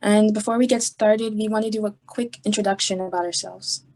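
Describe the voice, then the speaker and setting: A woman's voice: medium pitch, fairly even intonation, brisk, a little mellow. A young woman from New York giving a prepared student presentation in a composed, scripted way over a video call. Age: young adult.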